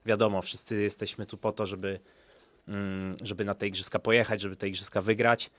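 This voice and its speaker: A man in his thirties, a professional windsurfer, answering at a press conference in a relaxed, chatty way with many fillers, into a handheld mic. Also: fairly low pitch, fairly quick, dry recording. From Poland. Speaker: pro windsurfer. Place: small conference room, indoors. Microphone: handheld microphone.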